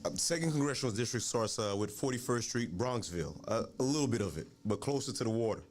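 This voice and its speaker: A man with a Haitian accent, a candidate answering interview questions calmly and methodically. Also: medium pitch, fast talker. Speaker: guest, political candidate.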